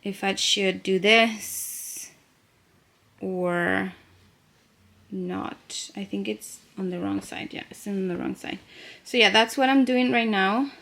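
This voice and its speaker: A woman's voice: medium pitch, slow, gravelly, fairly bright tone. A young woman from Chile, a native Spanish speaker, chatting casually at home about her knitting and crochet designs and thinking aloud. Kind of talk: casual vlog chat.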